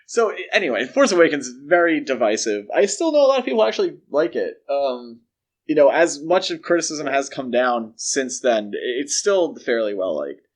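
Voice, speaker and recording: Male podcast host, average pitch, conversational and opinionated film chat. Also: sing-song, moderate pace. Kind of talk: casual film discussion.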